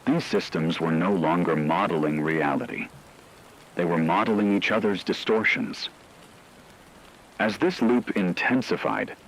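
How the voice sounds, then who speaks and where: A man playing a solemn radio news reporter, delivering a scripted crisis bulletin with husky, deliberate gravity. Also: sits low, a bit bright, full character voice, rough recording. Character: grave male radio newsman reporting a worldwide crisis.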